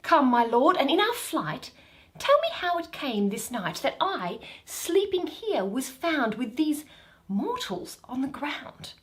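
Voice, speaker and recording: A graceful fairy queen, played by a woman, speaking Shakespearean verse. The voice is high and swoops expressively, full of dreamy wonder. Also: very animated, takes it slowly, exaggerated voice. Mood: curious.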